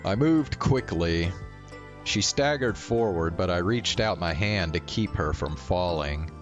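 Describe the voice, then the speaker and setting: A man with a low voice reads story text aloud for a stream. He takes it slowly and casually, with music behind him. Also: animated, lo-fi sound. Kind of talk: reading visual novel text aloud, with casual asides.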